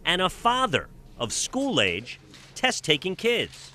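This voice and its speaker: Bright, animated American male news reporter. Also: sits high, slow. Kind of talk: scripted broadcast news narration.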